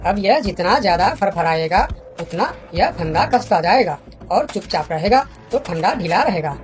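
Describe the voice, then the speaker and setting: A woman's voice: fairly low pitch, lively intonation, moderate pace, close to an everyday voice. A woman voicing a clever, confident young male monkey for a children's cartoon, with music under the voice. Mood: triumphant.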